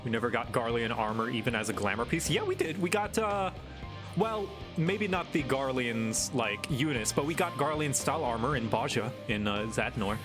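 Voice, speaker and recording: A laid-back man with a general American accent chats away while he streams a game, rambling through sentences with plenty of "like" and restarts. His voice sits at an ordinary middle pitch. Game music plays clearly underneath. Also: expressive, moderate pace. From grew up in Thailand. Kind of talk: casual gaming stream chat.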